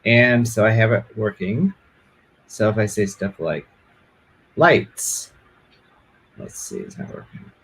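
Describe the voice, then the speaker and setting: A man in a casual show-and-tell demo, talking in a hesitant, halting way as a guest. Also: fairly low pitch, big pitch swings, a bit bright, husky. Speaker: guest demonstrating a project.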